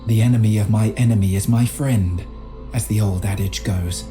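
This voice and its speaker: An actor playing a learned, timid chronicler in a video game, speaking in formal, measured, literary phrases. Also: male voice, lowish voice, dark tone, faint music behind, natural voice. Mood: solemn, reflective. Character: earnest, learned, timid medieval scholar and chronicler. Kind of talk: dramatic journal narration in a video game.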